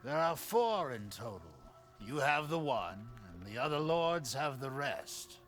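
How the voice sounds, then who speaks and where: Cryptic video game merchant, shrewd, theatrical storyteller, very varied intonation, faint music behind. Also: male voice, medium pitch, big pitch swings, measured pace, a bit bright. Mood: ominous storytelling. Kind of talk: video game cutscene acting. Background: background music.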